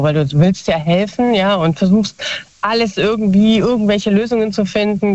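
A woman's voice: mid-range voice, a little mellow, no echo. A woman in her forties chatting casually, with lots of fillers and self-corrections. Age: 40s. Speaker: guest. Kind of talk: casual chat. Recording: dull lo-fi dry sound.

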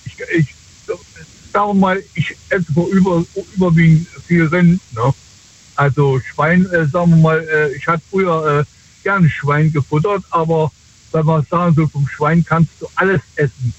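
A man calling in by phone, chatting casually in a rambling, halting, colloquial way with frequent tag questions. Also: fairly high pitch. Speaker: phone-in caller. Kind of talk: casual chat. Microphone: telephone. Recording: dull lo-fi phone call.

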